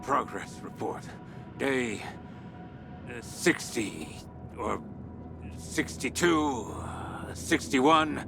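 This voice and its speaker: An actor playing an obsessed researcher sliding into messianic madness in a video game audio log, speaking haltingly and broodingly, at times breaking into whispers or cries. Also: male voice, mid-range voice, animated, creaky, exaggerated voice. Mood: weary, brooding. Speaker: expedition leader recording audio logs. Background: background music.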